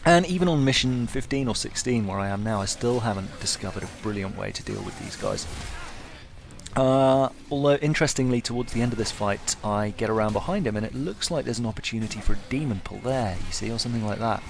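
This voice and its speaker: A man's voice: lowish voice, lively intonation, a little fast, gravelly, background noise. A man giving casual gameplay commentary as a video host, wry, understated and self-deprecating.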